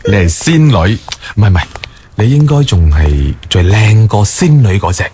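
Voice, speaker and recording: Deep-voiced playful boyfriend. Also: male voice, big pitch swings, normal speed, background noise. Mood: teasing. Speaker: radio drama actor. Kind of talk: naturalistic radio drama dialogue.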